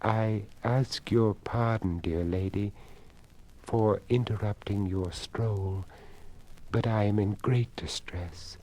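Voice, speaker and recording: A man voicing a lost, frightened young ghost girl who is polite and pleading, speaking softly and haltingly. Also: lowish voice, steady tone. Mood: distressed, pleading.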